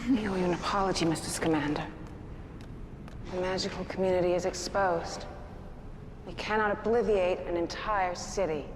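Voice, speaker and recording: An actress playing a stern, commanding leader of wizards in game cutscene dialogue, formal and authoritative. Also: female voice, on the low side, fairly even intonation, normal speed, long pauses, background noise, close to an everyday voice. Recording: echoey hall, music behind.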